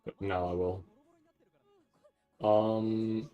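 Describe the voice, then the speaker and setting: Low-voiced man rambling on a livestream. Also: level tone, very slow, dull audio. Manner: rambling, thinking aloud, casually profane. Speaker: livestream host reacting to anime event. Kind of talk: casual live stream chat.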